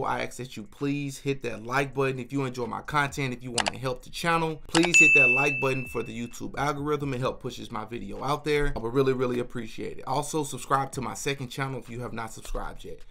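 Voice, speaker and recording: Bright-voiced young man giving opinionated commentary. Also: medium pitch, fairly even intonation, a little fast, creaky, hardly any pauses, slight background noise. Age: young adult. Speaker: host of a reaction channel. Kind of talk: casual opinionated video commentary. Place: small furnished room indoors.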